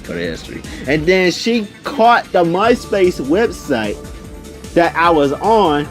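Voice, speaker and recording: A young man telling a personal story casually, in an animated, slangy way, acting out the conversations as he goes. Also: fairly high pitch, a little slow, faint music behind. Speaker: video storyteller.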